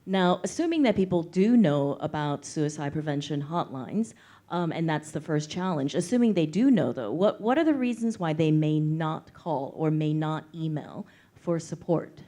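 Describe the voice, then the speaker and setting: Lady moderating a panel, deep for a woman, soft dark tone, careful wording. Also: expressive, moderate pace. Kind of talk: moderated panel discussion, posing questions.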